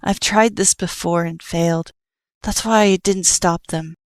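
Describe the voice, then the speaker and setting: A woman voicing a patient, sorrowful child who sees everything and speaks softly and earnestly. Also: on the low side, steady tone, unhurried, dry recording, clean studio sound. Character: calm all-seeing child, sorrowful and patient.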